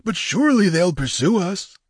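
A man's voice: sits high, big pitch swings, moderate pace, slightly rough, no room sound, clean studio sound, voice pushed up, heavily acted. A man playing a weary, superstitious corsair in a fantasy audiobook, desperate, wary and urgent. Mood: fearful. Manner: urgent, clamoring. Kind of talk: dramatic fantasy audiobook character.

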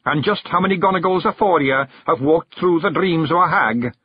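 A man voicing a small, fierce male pictsie clan leader with a gruff Scottish accent, blunt and commanding. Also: a little high, moderate pace, dry recording, dull audio, pitched above the performer's own voice. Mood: encouraging.

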